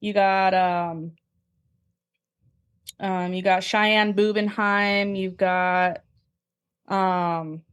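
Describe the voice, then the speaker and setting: A woman's voice: medium pitch, fairly even intonation, drawn-out words, pauses often, clear, resonant tone. A woman chatting casually as a guest, thoughtful and explanatory in how she talks. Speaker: podcast guest, amateur cornhole player. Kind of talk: casual chat.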